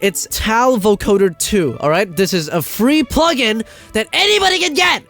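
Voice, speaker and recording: High-pitched, animated man on an exasperated rant. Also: moderate pace, over music. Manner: exasperated, sweary, emphatic, repeating himself. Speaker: video host, music commentator. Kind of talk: casual ranting commentary.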